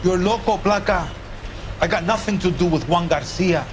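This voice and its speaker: A man's voice: high-pitched, normal speed, lightly acted. Defiant worker male actor, noisy surroundings. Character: evasive, defiant male produce worker under questioning. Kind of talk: video game drama acting.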